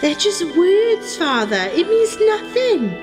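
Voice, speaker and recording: An actress playing a feisty, indignant young woman in a radio play, sharp-tongued and exclamatory. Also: very high voice, sing-song, slow pace, over music, full character voice. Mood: dismissive.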